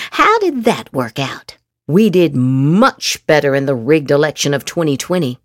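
Theatrical woman with wildly swooping pitch. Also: dry recording, clean studio sound. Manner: theatrical, exaggerated inflection. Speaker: podcast host. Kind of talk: dramatic read-aloud of political social media posts.

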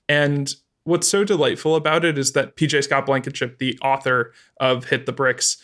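Male podcast host, mid-pitched, enthusiastic and effusive, conversational delivery. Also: a bit bright.

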